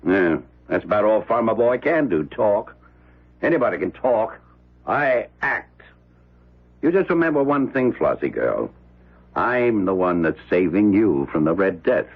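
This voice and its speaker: An actor playing a domineering, jealous rich man in a radio drama, gruff, blunt and slangy. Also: on the low side, big pitch swings, measured pace, phone-quality sound, exaggerated voice. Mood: boastful, demanding.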